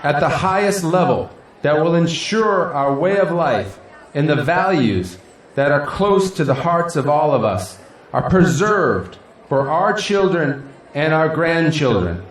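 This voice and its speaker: A man acting a middle-aged, self-assured city politician in an audio drama, folksy and grandiose, with dramatic pauses. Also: a little high, big pitch swings. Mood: rousing, resolute. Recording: echoey hall, faint crowd and noise.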